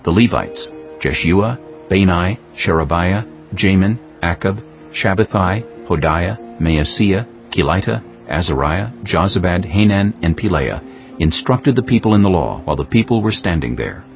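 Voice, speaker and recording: Deep-voiced male scripture narrator over music. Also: lively intonation, moderate pace, gravelly. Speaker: audiobook narrator. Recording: dull lo-fi audio under prominent music.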